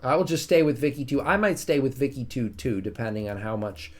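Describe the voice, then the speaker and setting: A man's voice: mid-range voice, a little fast. A man with a soothing voice streaming a strategy game, casually chatting with his viewers and joking at his own expense. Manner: chatty, self-mocking, reads and answers chat.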